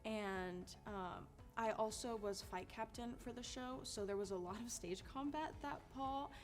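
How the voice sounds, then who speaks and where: A woman's voice: a little high, unhurried. A young woman, a student actor, talking casually in an interview. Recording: music under the voice.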